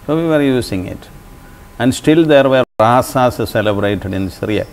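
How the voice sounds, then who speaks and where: A man in his seventies with a Malayali English accent, speaking as an interview guest in a measured, thoughtful way, with frequent pauses. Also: mid-range voice, lively intonation, rough recording. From Kerala, India. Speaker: interview guest, church leader. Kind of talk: reflective conversation. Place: bright indoor sitting room. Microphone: lapel mic.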